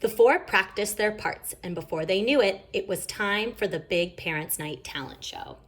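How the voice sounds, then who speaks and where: A woman reading a children's story aloud in an expressive, emphatic storytelling manner. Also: mid-range voice, normal speed. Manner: animated, emphatic. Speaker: storybook reader.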